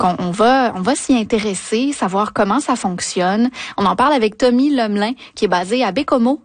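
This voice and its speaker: A woman hosting a radio interview, brisk and conversational. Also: medium pitch, no room sound. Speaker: radio host.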